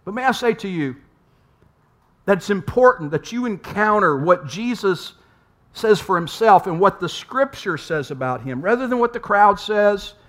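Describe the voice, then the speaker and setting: Man, pastor, sermon, conversational preaching, rhetorical questions, repeats words, slightly high-pitched. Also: animated, moderate pace, fairly bright tone. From United States.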